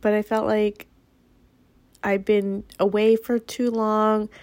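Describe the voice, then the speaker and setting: A woman's voice: medium pitch, flat delivery, takes it slowly, a little mellow, dry recording. A woman with a head cold, nasal and sniffling, hosting her podcast in a frank, blunt monologue that swears freely. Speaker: podcast host. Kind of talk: casual monologue.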